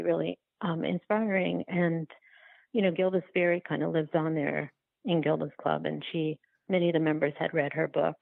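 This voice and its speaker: Low-voiced, creaky woman in conversation. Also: dry recording, narrow, tinny audio. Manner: hesitant, halting, with repeated words. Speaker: documentary filmmaker, podcast guest. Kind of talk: conversational interview answers.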